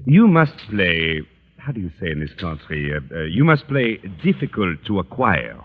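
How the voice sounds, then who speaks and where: A man's voice: deep voice, sing-song. Suave Frenchman, wildly swooping voice. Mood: coaxing, confiding. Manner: halting, foreign phrasing, courtly. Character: suave, flirtatious French teacher, a man. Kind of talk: 1940s-50s radio comedy acting. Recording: muffled, noisy old radio, reverberant hall.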